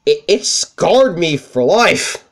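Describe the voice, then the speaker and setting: A young man with a high-pitched voice, swearing freely as he tells rambling stories over gameplay. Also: big pitch swings, slow pace, gravelly. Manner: profane, rambling, self-deprecating. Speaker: let's play commentator. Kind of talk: casual gameplay commentary with storytelling.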